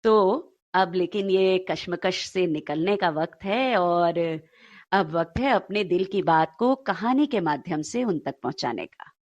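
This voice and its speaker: A woman's voice: fairly low pitch, expressive, a little slow, darkish tone, no echo, dull audio. Conversational woman co-host, dry close sound. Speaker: co-host of a story show. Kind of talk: conversational show hosting.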